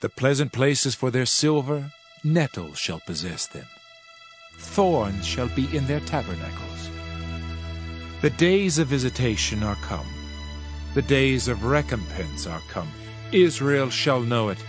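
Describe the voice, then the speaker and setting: A man's voice: medium pitch, lightly acted. A man playing a solemn Old Testament prophet in a dramatized Bible reading, declaiming judgment in a grave, formal manner. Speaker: scripture narrator. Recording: music under the voice. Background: music.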